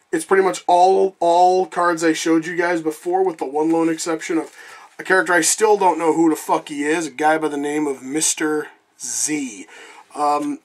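A man with a slightly raised pitch talks loosely and rambles, tossing in casual swearing as he goes. A lingering cough hangs over his voice. He sounds relaxed and off the cuff, speaking from off camera indoors. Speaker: trading card reviewer. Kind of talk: casual card review vlog.

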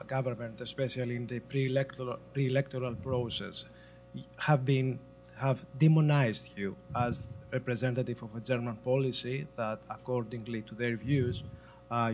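A man with a Greek accent, a journalist, putting a formal, slightly halting question from the audience. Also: mid-range voice, fairly even intonation, poor audio. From Greece. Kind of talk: audience question at a public forum. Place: conference hall. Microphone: handheld microphone.

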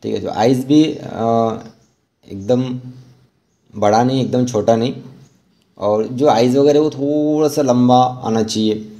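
A man narrating a step-by-step art tutorial as an instructor, informally and haltingly, mixing in English terms. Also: mid-range voice, warm tone.

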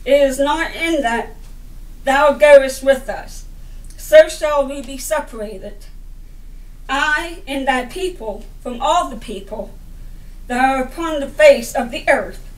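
A middle-aged woman reading the Bible aloud as a sermon, in deliberate broken phrases with stumbles over the words. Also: high-pitched. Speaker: lay preacher reading scripture. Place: indoor room by a window. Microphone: camera a little way off. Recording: roomy, distant camera microphone.

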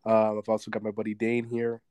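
A young man co-hosting a podcast in casual chat, talking with a sore throat and a slight rasp, rambling with restarts and frequent laughs. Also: fairly low pitch, monotone, a little fast, a little mellow, no echo, studio quality. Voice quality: slightly raspy. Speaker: podcast co-host.

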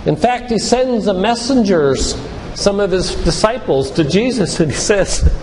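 Older male lay preacher, high-pitched, instructive storyteller. Reverberant church hall recording with noticeable noise. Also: expressive, unhurried. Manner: didactic storyteller, addresses listeners directly. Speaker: physician giving a guest talk at a church. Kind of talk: sermon-like lecture retelling Bible stories. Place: large room, church.

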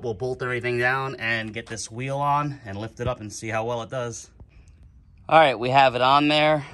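A man hosting a casual how-to video, narrating a trailer upgrade outdoors in a relaxed, plain-spoken way while holding the camera. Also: mid-range voice. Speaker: DIY channel host. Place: outdoors on a concrete driveway. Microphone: camera mic, one hand on camera.

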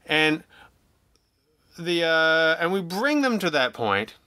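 A man talking casually as an interview guest, telling stories in an animated, jokey, self-deprecating way and acting out remarks he quotes. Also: a little high, slow pace, clear, resonant tone, no echo. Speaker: guest, audio drama writer and producer.

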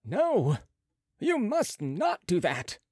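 A man voicing a cautious, courteous chief surgeon with a formal, deliberate manner in an audiobook. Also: high voice, sing-song, creaky, no room sound, clean studio sound, pitched above the performer's own voice, full character voice. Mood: alarmed protest. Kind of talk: audiobook character voice.

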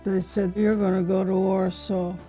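A woman's voice: mid-range voice, little pitch movement, normal speed, warm tone, soft background music. An older woman telling her wartime memories in a plainspoken, blunt way during a sit-down interview at home. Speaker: interviewee, veteran. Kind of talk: documentary interview recollection. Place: living room with drapes.

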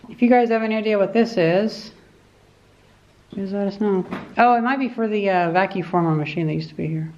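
A woman's voice: mid-range voice, brisk, darkish tone. A woman casually narrating as she explores a cluttered boiler room, talking technically and using lots of fillers. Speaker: vlogger exploring a basement. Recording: echoey basement, dull camera audio, faint hiss.

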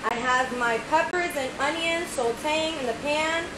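A woman in her thirties talks through a home recipe step by step, chatty and relaxed, often asking "right?". Her voice sits high for a woman. She is recorded on a phone in a home kitchen that rings with echo, with steady background noise behind her. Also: measured pace. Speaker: home cook host. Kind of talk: casual cooking demo. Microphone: phone camera at arm's length.

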